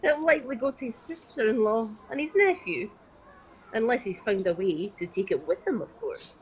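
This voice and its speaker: Woman playing animated gossip, dull lo-fi sound. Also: fairly high pitch, normal speed, close to an everyday voice. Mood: gossipy, scornful. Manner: gossipy, scornful. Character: gossiping, scornful acquaintance of a dead miser. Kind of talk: amateur radio play acting. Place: at home, recorded over video call. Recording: dull video-call sound, faint noise.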